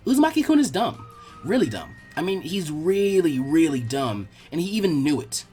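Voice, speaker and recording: A man's voice: on the high side, very animated, moderate pace, darkish tone, faint music behind. A man voicing an earnest, bookish young girl tutor who explains things kindly and teasingly in an audiobook-style story. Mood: teasing, sympathetic.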